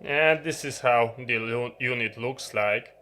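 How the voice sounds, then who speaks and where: A man who is not a native English speaker gives an unscripted product explanation, halting and often pausing mid-sentence. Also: medium pitch, bright, forceful tone, a little hiss or hum. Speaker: video presenter.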